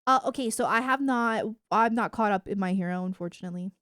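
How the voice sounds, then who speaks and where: A woman chatting casually to viewers on a livestream in a giggly, animated, rambling way. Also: medium pitch, quick pace, no room sound, studio quality. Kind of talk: casual livestream chat about anime and games.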